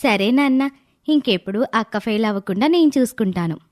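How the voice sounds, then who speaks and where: A woman's voice: a little high, expressive, brisk, darkish tone, close to an everyday voice. A woman voicing an eager, affectionate little daughter in a moral story, with a chirpy, childlike manner. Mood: earnest.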